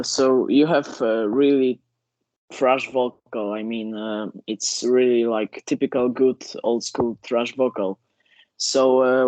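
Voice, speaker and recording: A man with a Polish accent, a non-native English speaker, interviewing a musician and asking halting questions. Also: medium pitch, darkish tone, no echo, low-quality recording. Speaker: interviewer, metal fan channel host.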